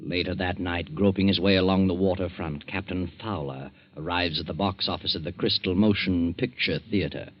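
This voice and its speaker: An actor serving as narrator of a 1940s radio crime drama, reading scripted storytelling. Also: male voice, sits low, steady tone, normal speed, hardly any pauses, lightly acted. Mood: ominous, measured. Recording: muffled 1940s radio recording.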